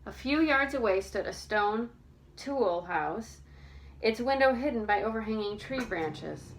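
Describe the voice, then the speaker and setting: A woman reading a storybook aloud as narrator, with expressive, careful, dramatic delivery. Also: a little high, a little slow, a little hiss or hum, rough recording.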